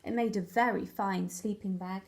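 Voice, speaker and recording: A woman's voice: mid-range voice, steady tone, normal speed. A woman reading a children's story aloud expressively, like a teacher to young pupils.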